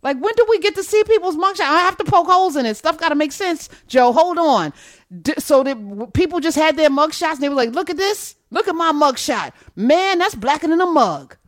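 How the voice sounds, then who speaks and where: A woman's voice: high voice, expressive, fairly quick, no echo, clean studio sound. A woman hosting a call-in radio show, casually bantering and teasingly questioning callers. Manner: quizzical, teasing, pokes holes in callers' stories.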